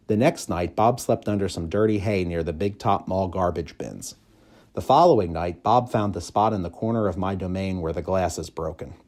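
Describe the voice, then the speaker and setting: A man reading a children's story aloud in expressive, measured, clearly phrased narration. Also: low-pitched. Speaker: audiobook reader, school staff member.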